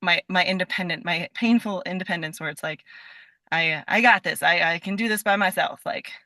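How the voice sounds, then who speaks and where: A woman's voice: lowish voice, brisk, pushed and bright, no echo. A young American woman from Nevada chatting casually as a podcast guest, effusive and rambling, with lots of "like" in her sentences. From Nevada, United States. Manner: effusive, rambling, peppers talk with "like". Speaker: podcast guest, stage and voice actor. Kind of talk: casual chat.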